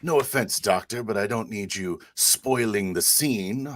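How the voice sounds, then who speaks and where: Guy doing tabletop rp as a smug priest, really deep voice, dry mic, hesitant n genteel. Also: a little slow, a bit bright, dry recording. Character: smug, devout inquisitor priest. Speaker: tabletop roleplay player. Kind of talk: improvised in-character roleplay and narration.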